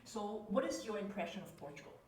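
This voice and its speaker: A woman's voice: medium pitch, big pitch swings, fast, darkish tone. A woman interviewing casually, heard at some distance from the microphone in a roomy space with faint noise. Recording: distant, echoey, noisy off-mic pickup.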